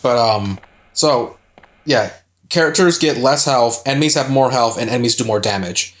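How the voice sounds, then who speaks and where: A man's voice: mid-range voice, moderate pace. Rambling young man commentating a game. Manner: rambling, swears freely, many fillers. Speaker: co-host playing a video game.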